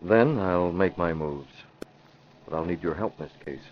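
A man's voice: deep voice, unhurried, a little hiss or hum. An actor playing a determined young crusading man with a secret identity, giving decisive orders in 1940s radio drama style. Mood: grave, decisive. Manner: decisive, gives crisp orders. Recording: dull vintage radio recording.

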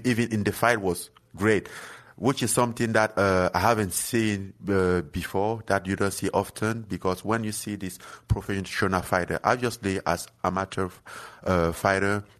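Deep voiced cameroonian guy, lots of uh and like, earnest chat. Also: a bit bright. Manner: halting, many fillers and restarts, earnest. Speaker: guest fighter. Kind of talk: casual interview chat.

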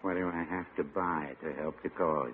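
An actor playing a mild older small-town shopkeeper, hesitant, folksy and deadpan, in 1940s radio comedy style on an old broadcast. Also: male voice, deep voice, moderate pace, breathy edge, narrow, tinny audio. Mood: droll.

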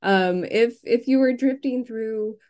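A woman speaking as an interviewed novelist, reflecting casually and circling haltingly around her thoughts. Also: on the high side, a little mellow.

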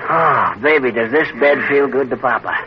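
A man's voice: mid-range voice, normal speed, highs cut off, lightly acted. Guy doing a rambling folksy husband, chuckly, old hissy radio sound. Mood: jovial. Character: boastful middle-aged husband, bumbling joker. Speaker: sitcom lead. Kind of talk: 1940s radio comedy acting. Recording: old radio broadcast with hiss.

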